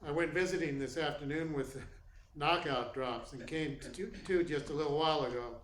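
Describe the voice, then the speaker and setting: A man playing a tough, wry private detective in a radio play, talking in a halting, slangy way. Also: fairly high pitch, fairly bright tone, close to an everyday voice. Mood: wry, urgent. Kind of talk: 1940s-style radio play acting. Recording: echoey room, clear background noise.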